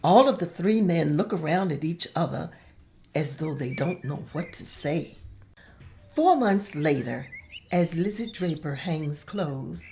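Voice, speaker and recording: A woman's voice: on the low side, a little mellow, a little hiss or hum. A woman reading stage directions as scripted narration at a table read.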